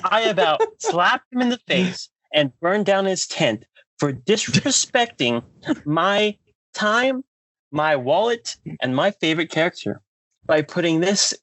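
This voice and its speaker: An American man hosting a podcast, delivering a comedic rant in a theatrical, mock-announcer way with drawn-out emphasis. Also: high voice, a bit bright, no room sound, rough recording. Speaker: podcast host.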